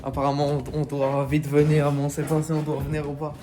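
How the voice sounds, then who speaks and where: A man's voice: a little high, monotone, brisk. A man talking casually to viewers in a playful, mischievous vlogging style. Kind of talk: casual vlog chat. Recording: clear background noise.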